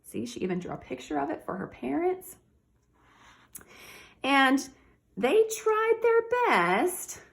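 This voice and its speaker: Animated woman teacher reading to children. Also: high-pitched, slow, gravelly, pauses often. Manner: addresses young listeners directly, animated. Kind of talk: children's read-aloud with comments and questions.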